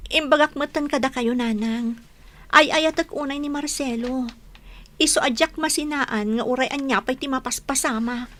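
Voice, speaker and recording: A woman's voice: high voice, normal speed, a bit bright. An actress playing a defiant, lovestruck daughter in a radio drama, pleading earnestly and emphatically for her love. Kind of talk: Philippine radio drama acting.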